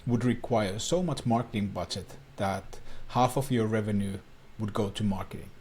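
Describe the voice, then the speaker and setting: A man's voice: on the low side, normal speed, a little mellow, slight background noise. A man from Finland, a native Finnish speaker, coaching viewers on online marketing in an earnest talking-head explainer with plain, slightly non-native phrasing.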